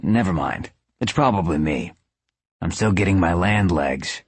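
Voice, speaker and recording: A man's voice: sits low, animated, measured pace, slightly rough, exaggerated voice. A man playing a wry, blunt male antihero with a casual way of talking in an audiobook. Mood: uneasy.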